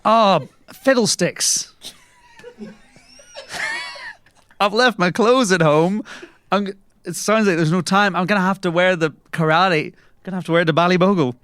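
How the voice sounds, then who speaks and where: A man's voice: sits high, moderate pace, pauses often, a bit bright, clear, resonant tone, dry recording. A man playing an awkward, earnest, eccentric investigator in improvised comedy role-play. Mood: earnest, flustered.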